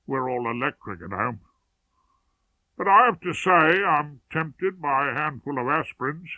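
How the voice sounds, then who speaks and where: A man's voice: on the high side, lively intonation, measured pace, no room sound. A man voicing a deferential, chummy male barristers' clerk in an audiobook story. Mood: glum. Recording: narrowband, tinny radio-like sound.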